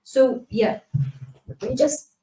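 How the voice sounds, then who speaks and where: A woman with a slightly high voice gives a lively, casual conference presentation, chatty and full of fillers. She is heard from a distance in a roomy space through a laptop mic. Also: takes it slowly. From Kazakhstan. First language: Kazakh. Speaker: community manager giving a talk.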